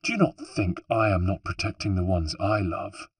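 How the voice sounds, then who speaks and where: A man's voice: deep voice, sing-song, moderate pace, slightly rough, dry recording, full character voice. A man doing a cold vengeful young assassin. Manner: hissing, cold, menacing.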